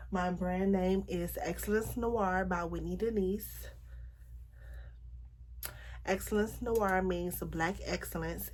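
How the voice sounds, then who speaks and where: A young woman vlogging to camera about her makeup business, chatty and frank, her voice a little raspy as she gets over a cold. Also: fairly low pitch. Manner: chatty, frank, drawn-out emphasis. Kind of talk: casual talk to camera.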